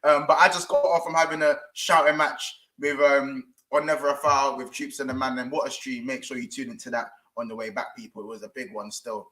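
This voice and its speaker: A man hosting a casual football show, animated and slangy, often repeating himself. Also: medium pitch, a little fast, few pauses, echoey room, rough recording. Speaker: host.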